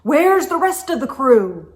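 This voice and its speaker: A woman's voice: high-pitched, big pitch swings, measured pace, pitched above the performer's own voice. A woman voicing a small, brave gingerbread pirate captain, commanding and suspicious, in a children's storybook reading. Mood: urgent, commanding. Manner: commanding, exclaiming.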